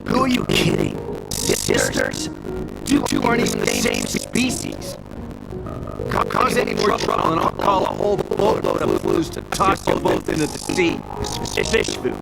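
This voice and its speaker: A man voice-acting a gruff, scornful townsman in a video game, blustering and disapproving of outsiders. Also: sits high, wildly swooping pitch, normal speed, heavily acted, poor audio. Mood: threatening. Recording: music behind the voice.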